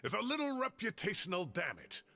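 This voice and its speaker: An actor playing an older, blustery, good-humored professor in a video game, expressive and persuasive. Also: male voice, high voice, brisk, slight background noise, full character voice. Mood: indignant, defensive. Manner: expressive, exclaiming, wheedling.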